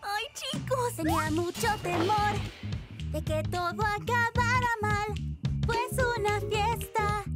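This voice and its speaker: A sweet caring kiddie train, very high voice, takes it slow, loud kids music behind. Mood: affectionate. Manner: affectionate, encouraging. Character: caring, warm-hearted young train engine friend. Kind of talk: animated children's cartoon dubbing and songs. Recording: loud children's music under the voice.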